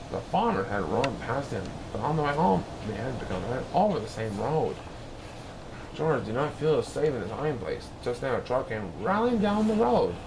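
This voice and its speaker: Animated higher-voiced man reading a children's storybook. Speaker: storybook reader. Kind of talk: scripted narration of a children's storybook. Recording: clear background noise.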